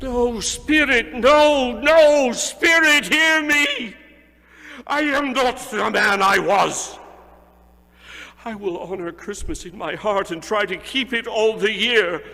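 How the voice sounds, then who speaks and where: A man's voice: extremely high pitch, takes it slowly, vocal fry, voice pushed up, full character voice. A man voicing a frantic old miser begging a ghost. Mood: desperate pleading. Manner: desperate, shouting. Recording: hall reverb, faint mains hum.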